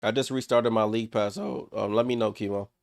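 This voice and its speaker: A man's voice: fairly low pitch, steady tone, fairly quick, vocal fry, dry recording, studio quality. A man hosting a live watchalong stream, calling the game in short clipped play-by-play bursts and chatting with viewers, a little under the weather and congested. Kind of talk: casual live play-by-play commentary.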